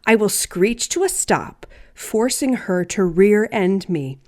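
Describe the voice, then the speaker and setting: A woman storyteller reading a personal story aloud in a deliberate, theatrical, wryly humorous way. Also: medium pitch, expressive. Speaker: writer and storyteller.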